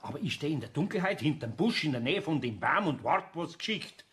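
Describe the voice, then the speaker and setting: A man's voice: a little high, animated, fairly quick, fairly bright tone, slightly rough, exaggerated voice. An actor playing a good-natured, easily astonished older craftsman with a Bavarian accent in a children's audio play. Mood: reassuring.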